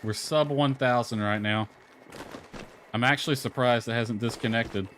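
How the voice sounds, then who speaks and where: A man's voice: mid-range voice, normal speed, a bit bright, slight background noise. A man giving casual, rambling commentary on a game livestream, mildly grumbling as he goes. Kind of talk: casual gameplay stream commentary. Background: music.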